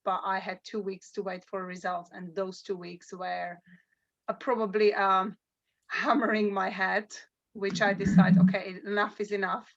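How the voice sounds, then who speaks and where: A woman's voice: medium pitch, little pitch movement, normal speed, creaky, lo-fi sound. A woman from Slovakia, a guest sharing her personal story on a call, earnest and searching for words.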